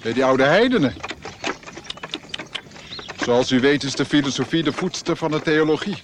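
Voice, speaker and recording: An actor playing a stern, learned cleric lecturing in a measured way in a radio drama. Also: male voice, on the high side, background noise, close to an everyday voice. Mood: pious, lecturing.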